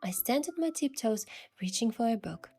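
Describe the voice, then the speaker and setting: A woman voicing a curious young adventurer heroine, telling the story in the first person with earnest, expressive delivery. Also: a little high, moderate pace, breathy edge. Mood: narrating, gentle. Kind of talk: scripted first-person narration and dialogue. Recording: music behind the voice.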